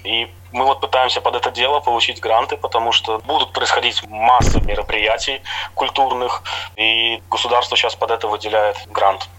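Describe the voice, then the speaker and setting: A man's voice: mid-range voice, pushed and bright, low-quality recording. A middle-aged man from Latvia, a guest on a radio interview, talks about his theatre projects in an easygoing, explanatory way with small hesitations.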